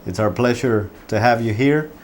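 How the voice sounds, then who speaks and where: A man hosting a local arts interview show, talking in a relaxed way with frequent fillers. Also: medium pitch, normal speed. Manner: friendly, relaxed with filler words. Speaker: host. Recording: faint background noise.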